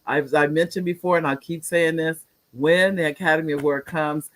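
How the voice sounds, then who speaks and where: A woman's voice: low-pitched, steady tone, normal speed. A woman hosting a talk show, praising her guest effusively and talking in loose, rambling restarts. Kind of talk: casual interview chat.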